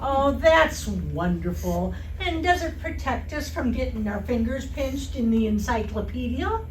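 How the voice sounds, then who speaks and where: Dry, sarcastic old-time radio wife, played by a woman, highish voice, folksy scolding, distant noisy stage recording. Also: animated, a little slow. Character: sensible, dryly sarcastic, no-nonsense wife. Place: live stage performance by amateur troupe. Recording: echoey hall, distant mic, clear background noise.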